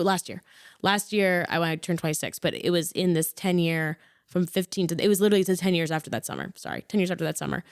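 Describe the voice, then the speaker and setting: A woman in her twenties, an actor and comedian, telling her story in a rambling, self-correcting way, growing animated when excited. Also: lowish voice, clean tone, no echo, studio quality. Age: 20s. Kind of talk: casual interview chat.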